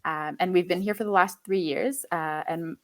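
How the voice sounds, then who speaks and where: A woman in her late twenties from Quebec, a native French speaker, chatting as a podcast guest in a relaxed way with lots of fillers. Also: fairly low pitch, normal speed. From Quebec, Canada. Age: 20s. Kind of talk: casual conversation.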